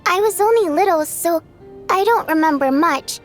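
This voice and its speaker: A woman's voice: extremely high pitch, expressive, moderate pace. A woman voicing an earnest, tender-hearted young girl, innocent and thoughtful, in a video game dub. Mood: pensive, questioning. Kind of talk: English game dub voice acting. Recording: music under the voice.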